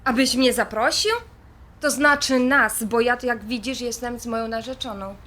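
A high-voiced, defiant daughter in an audio drama. Her tone is sharp and bright, and she is sarcastic and impulsive, always ready to confront. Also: fairly even intonation, moderate pace.

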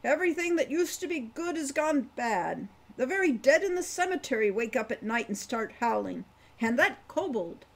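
A man's voice: extremely high pitch, sing-song, normal speed, gravelly, fairly bright tone, pitched above the performer's own voice, heavily acted. A woman voicing a gloomy, folksy old male dwarf who rambles and grumbles, in a storybook reading. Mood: grave, warning.

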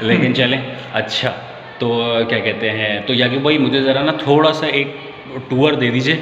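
A man's voice: medium pitch, level tone, moderate pace, a bit bright, muffled recording, slight background noise. A man in his thirties vlogging his first gym day, chatting and joking with his trainer, recorded on a hand-held phone in an indoor gym. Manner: chatty and joking, with many "theek hai" nods. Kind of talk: casual vlog chat. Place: indoor gym with hard walls. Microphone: phone camera held by hand. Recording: echoey gym room.

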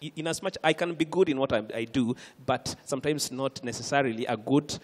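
A man's voice: medium pitch, in a large hall. Kenyan man answering on a panel. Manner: earnest, halting restarts. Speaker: panelist, lecturer. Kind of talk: panel discussion. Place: conference stage. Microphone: handheld mic.

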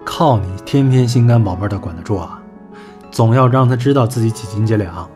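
A man's voice: lowish voice, fairly quick, darkish tone, faint music behind, close to an everyday voice. An actor playing a cynical male talent manager in an audio drama, blunt, sarcastic and scornful. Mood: mocking. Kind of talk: Chinese audio drama acting.

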